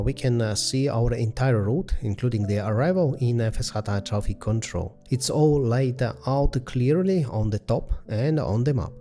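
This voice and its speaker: Hesitant man narrating a flight simulator, mellow tone. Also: mid-range voice. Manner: hesitant, methodical step-by-step delivery. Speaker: flight simulator video host. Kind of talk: step-by-step tutorial commentary on a flight simulator.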